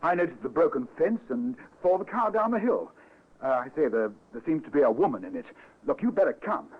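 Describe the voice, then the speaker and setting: A flustered English motorist in a vintage radio play, his voice swooping widely and pitched fairly high. The voice comes through a dull old recording. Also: big pitch swings, a little fast, breathy edge. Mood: alarmed, urgent. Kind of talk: old radio drama acting.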